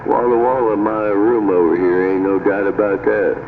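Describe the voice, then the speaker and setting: A man's voice: lowish voice, little pitch movement, moderate pace. American man on a tinny, staticky CB radio. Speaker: CB radio operator hosting a radio night stream. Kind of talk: casual CB radio chat. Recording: tinny band-limited CB radio, clear static.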